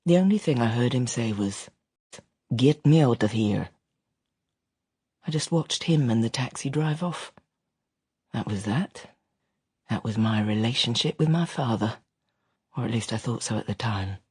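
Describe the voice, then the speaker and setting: A man's voice: mid-range voice, lively intonation, measured pace, long pauses, darkish tone, breathy edge, dry recording, studio quality. An actor playing a reflective grown son, confiding wistful memories of an absent father in an intimate radio drama monologue. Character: reflective adult son recounting his estranged father.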